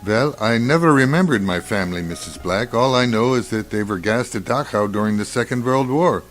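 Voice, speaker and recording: An actor playing a reserved, haunted doctor in a radio drama, speaking formally and with detachment. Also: male voice, medium pitch, animated, a little fast, fairly bright tone, faint music behind, natural voice. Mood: grim, detached. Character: reserved male doctor, a haunted war camp survivor.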